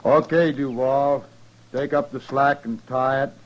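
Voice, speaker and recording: An actor playing a matter-of-fact, grimly neighborly American rancher leading a lynching in a radio western, speaking in an unhurried folksy rural way. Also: male voice, medium pitch, steady tone, muffled recording, close to an everyday voice. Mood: brisk, commanding. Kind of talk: 1950s radio western acting.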